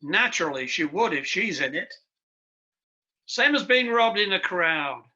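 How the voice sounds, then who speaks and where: A skeptical police inspector in a radio drama, acted by a man, giving orders with authority. The voice is high and very sharp, on a dull, lo-fi recording. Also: animated, a little slow, exaggerated voice. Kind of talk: live radio play acting. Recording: dull lo-fi streamed audio.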